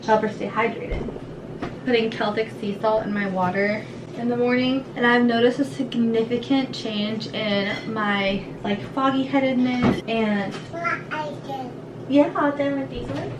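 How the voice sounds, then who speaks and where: A woman's voice: on the high side, fairly even intonation, unhurried. A young woman chatting casually in a vlog, rambling and informal, recorded indoors at home. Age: young adult. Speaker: vlogger and mother of a young child. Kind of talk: casual vlog chat to camera. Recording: echoey room, clearly noisy background.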